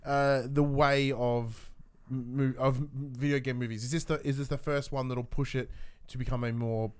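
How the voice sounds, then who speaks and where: A man's voice: mid-range voice, flat delivery, moderate pace, smooth. A man hosting a podcast, chatting casually and putting questions to his co-host. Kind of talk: casual chat.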